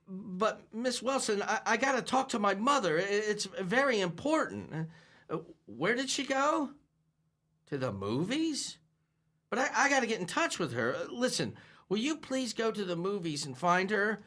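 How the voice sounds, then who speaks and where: A nervous, bumbling young man stammers and restarts as he tries to impress, his bright voice bouncing widely in pitch. It is classic old-time radio comedy acting. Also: big pitch swings, moderate pace, heavily acted. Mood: flustered. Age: young adult. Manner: stammering, hesitant.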